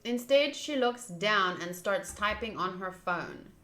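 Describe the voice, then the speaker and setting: Casting: female game streamer, bright mid-pitched voice in an echoey room, narrating on-screen text with informal asides. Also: expressive, a little slow. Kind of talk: reading a visual novel aloud with casual asides to viewers. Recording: roomy echo. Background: game music.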